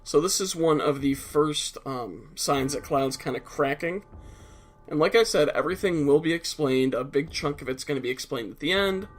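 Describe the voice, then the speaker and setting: A man's voice: fairly high pitch, moderate pace. A man with a stuffed-up nose giving casual, explanatory commentary over a video game, blunt and a little profane at times. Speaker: let's play commentator.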